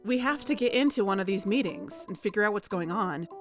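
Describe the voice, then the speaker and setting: A woman's voice: mid-range voice, expressive, fairly quick, faint music behind, close to an everyday voice. A woman playing an earnest amateur investigator in a scripted podcast drama, speaking conversationally.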